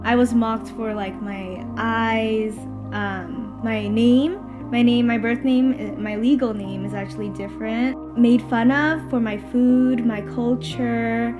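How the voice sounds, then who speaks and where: A teenage high school student born in Vietnam, speaking thoughtfully in a documentary interview with hesitant pauses. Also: female voice, steady tone, dull audio. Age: teens. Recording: music bed underneath.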